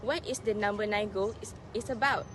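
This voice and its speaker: A woman's voice: medium pitch, a little fast, background noise. A young woman, a student presenter, reading scripted documentary narration.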